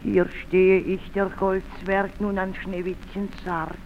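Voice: mid-range voice, little pitch movement, slow pace, big-room sound, muffled recording, slight background noise. A performer voicing an earnest little gold dwarf in an old radio play. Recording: 1930s radio recording over loud music.

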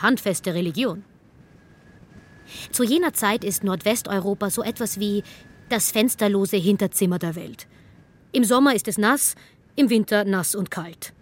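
An actress playing an archaeologist who narrates history in a wry, conversational way in a radio play, with music underneath. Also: female voice, mid-range voice, slight background noise, close to an everyday voice.

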